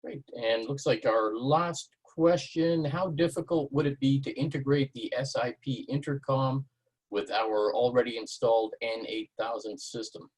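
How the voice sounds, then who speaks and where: A man's voice: medium pitch, steady tone, normal speed, a little mellow, husky, rough recording. A man acting as webinar moderator, reading out audience questions to a presenter.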